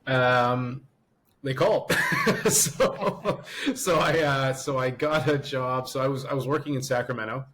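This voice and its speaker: A man's voice: mid-range voice, unhurried, fairly bright tone. A man from Canada, a surgeon and podcast guest, chatting casually over a video call with lots of ums and laughs. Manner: laughs often, hesitant with many ums.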